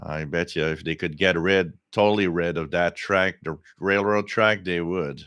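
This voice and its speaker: A man's voice: sits low, moderate pace, fairly bright tone, no echo. A French Canadian man whose first language is French, hosting a live radio stream and giving opinions in a rambling, opinionated way with many restarts. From Quebec, Canada. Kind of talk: live stream chat reading viewer comments.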